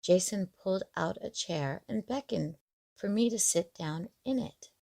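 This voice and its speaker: A woman narrating a scripted story in the first person, in a measured, expressive storytelling manner. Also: mid-range voice, creaky, no room sound.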